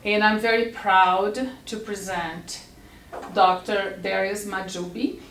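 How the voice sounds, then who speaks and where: A woman hosting an evening event, formally introducing the guest speaker in a measured, welcoming way. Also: mid-range voice, steady tone, fairly bright tone, a little hiss or hum. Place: lecture room with live audience. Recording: echoey room, mic far off.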